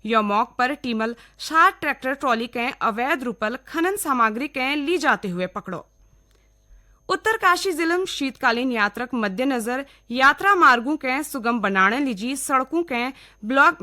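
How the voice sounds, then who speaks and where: A woman's voice: sits high, lively intonation, a little slow, bright, forceful tone. A woman with a Kumaoni accent reading scripted regional radio news. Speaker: newsreader.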